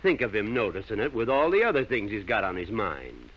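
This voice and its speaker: A man acting in an old-time radio drama as a loyal, devout small-town working man with a folksy manner and a husky voice. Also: on the low side, big pitch swings, a little fast. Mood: proud, earnest. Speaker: radio actor. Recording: dull, lo-fi vintage radio recording.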